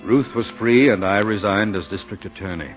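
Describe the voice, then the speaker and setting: An actor playing an earnest former district attorney in 1940s radio acting, on an old recording. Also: male voice, on the low side, moderate pace, dull audio, soft background music, natural voice. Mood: earnest troubled.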